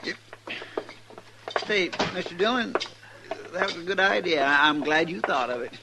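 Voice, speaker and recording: An actor playing a simple, eager, good-natured sidekick in an old-time radio western, with a folksy, deferential drawl. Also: male voice, a little high, animated, moderate pace, vocal fry. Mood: sheepish, eager. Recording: noisy, crackly vintage radio recording.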